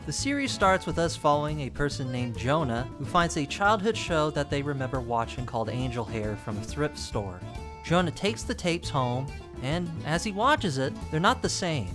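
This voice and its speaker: A young man narrating a scripted video essay with casual, wry asides and dramatic pauses. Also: a little high, expressive. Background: music.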